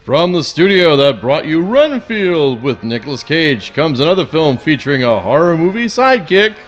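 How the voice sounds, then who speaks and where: A man's voice: a little high, very animated, normal speed, lo-fi sound, soft background music. A man playing a bombastic, wry movie trailer announcer in a parody.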